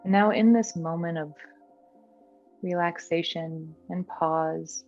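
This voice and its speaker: A woman guiding a meditation in a gentle, soothing, unhurried manner. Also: on the low side, steady tone, lo-fi sound. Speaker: meditation guide. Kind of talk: guided meditation. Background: background music.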